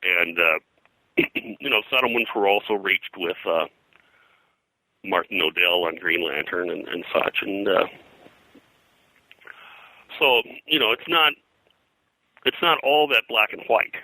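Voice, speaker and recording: Older male interview guest, low-pitched, dry close sound. Halting, discursive storyteller in casual conversation. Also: expressive, sharp tone, no room sound, rough recording. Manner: halting, discursive, hesitant phrasing. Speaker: interview guest, pop culture historian.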